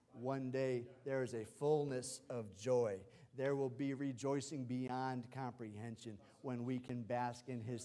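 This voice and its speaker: A man preaching from a church pulpit, his voice strained as he loses it. He swings between ringing, shouted praise and quiet, low passages. His pitch sits in an ordinary middle range. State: losing his voice. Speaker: pastor. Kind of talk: sermon. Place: church sanctuary.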